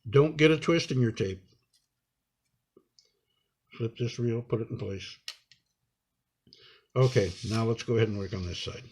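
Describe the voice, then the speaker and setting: A man giving an improvised hands-on tutorial, talking unhurriedly and haltingly through each step as he works. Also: mid-range voice, darkish tone, slightly rough. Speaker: instructor demonstrating a repair.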